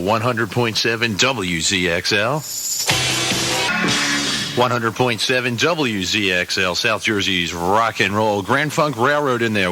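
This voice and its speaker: A man's voice: fairly low pitch, pushed and bright. An American man working as a rock radio DJ, delivering punchy, upbeat announcer patter on a hissy old aircheck tape. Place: radio studio.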